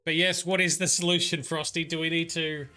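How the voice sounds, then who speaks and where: A man's voice: a little high, little pitch movement, a little fast, bright, forceful tone, soft background music. A man giving casual, rambling commentary while livestreaming a game, muttering asides and grumbling at the characters. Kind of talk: casual live gameplay commentary.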